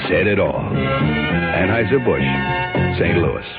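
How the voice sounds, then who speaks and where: A man pitches a radio commercial conversationally, leaning on key words. His low voice swings animatedly on a thin, phone-like recording over prominent music. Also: animated, slow. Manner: conversational, emphatic selling. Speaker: commercial announcer. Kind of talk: scripted radio advertisement. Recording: thin old radio, loud music behind.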